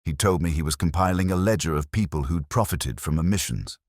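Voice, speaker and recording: A man voicing a frank elderly woman calmly gossiping in a detective story, matter-of-fact. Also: rumbling low, a little fast, dry recording, clean studio sound.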